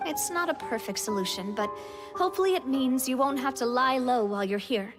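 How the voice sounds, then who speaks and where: A woman's voice: high-pitched, lively intonation, fairly quick, music in the background. A woman playing a confident, caring young female leader in a video game, with a self-assured, slightly grandiose manner. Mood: considerate. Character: confident, proud, caring young woman, troupe-like organization president.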